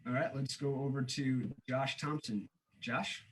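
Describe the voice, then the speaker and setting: A man's voice: medium pitch, a little slow, slight background noise, poor audio. A man speaking as the moderator of a sports media conference call.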